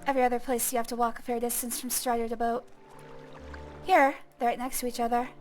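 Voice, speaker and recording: A woman's voice: on the high side, flat delivery, quick pace, darkish tone, soft background music. A young woman who streams games, chatting casually and thinking aloud in a self-deprecating way as she plays. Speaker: gaming streamer. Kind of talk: casual gameplay commentary.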